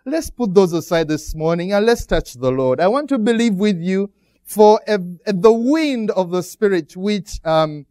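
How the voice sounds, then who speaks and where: A middle-aged man from Uganda with a Ugandan accent, speaking as a guest pastor to a church congregation, pausing often as he preaches. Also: sits high, lively intonation, a little mellow, clear, resonant tone, no echo, studio quality. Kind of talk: church greeting and sermon.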